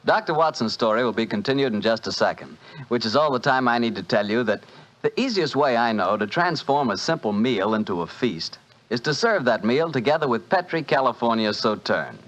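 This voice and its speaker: A male announcer reading a smooth, genial wine commercial and hosting a 1940s radio show. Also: mid-range voice, lively intonation, a little fast, fairly bright tone. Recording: dull 1940s radio broadcast.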